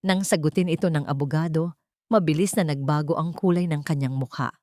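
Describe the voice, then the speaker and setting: A woman's voice: low voice, fairly quick, darkish tone, no echo, studio quality. A woman narrating a dramatic scripted story in a solemn, melodramatic manner.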